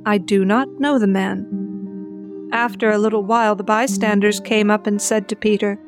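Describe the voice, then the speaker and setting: A woman's voice: medium pitch, fairly even intonation, moderate pace. A woman narrator giving a scripted reading of scripture. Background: music with guitar.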